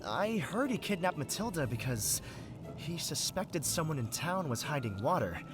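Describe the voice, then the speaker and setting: An actor playing a pompous, theatrical would-be novelist in a video game, with florid, melodramatic delivery. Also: male voice, fairly high pitch, very animated, moderate pace. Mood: grandiose. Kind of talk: video game character dialogue. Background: game music.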